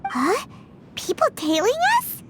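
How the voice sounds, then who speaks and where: Game casting: plucky little fairy sidekick, extremely high squeaky voice, bouncy and expressive. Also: female voice, takes it slowly, background noise, heavily acted. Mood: startled, plucky. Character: tiny childlike floating fairy companion, excitable and plucky. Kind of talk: video game voice acting.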